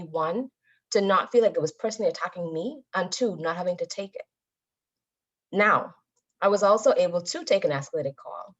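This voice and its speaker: A woman giving a motivational public talk as a guest speaker, with a deliberate, emphatic, instructive delivery. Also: mid-range voice. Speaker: guest speaker, Toastmasters member. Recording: dull, lo-fi audio.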